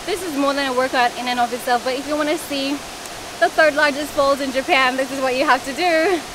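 A fairly high-voiced woman in her thirties, out of breath as she talks to a handheld camera on a forest stairway. Her chatty travel-vlog patter keeps breaking into sighs and puffs. A clear rush of waterfall noise runs behind her outdoor recording. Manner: breathless, chatty, sighing between lines. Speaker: travel vlogger. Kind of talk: casual vlog talk to camera. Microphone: handheld camera at arm's length. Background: rushing waterfall noise.

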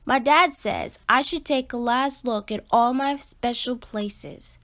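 Woman, higher-pitched voice, very unhurried pace, expressive picture-book read-aloud, emphatic delivery.

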